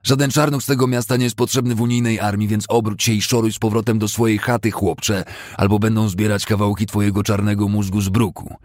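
A man voicing a pimply, vicious young thug who shouts shrill threats. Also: fairly even intonation, quick pace, hardly any pauses, no echo, studio quality, lightly acted. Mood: threatening.

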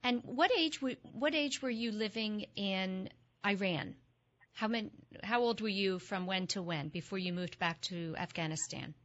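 A woman's voice: medium pitch, unhurried. Woman, interviewer, hesitant, lots of fillers, slightly muffled sound. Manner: warm, hesitant questioning with many fillers. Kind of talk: podcast interview. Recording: slightly dull lo-fi audio.

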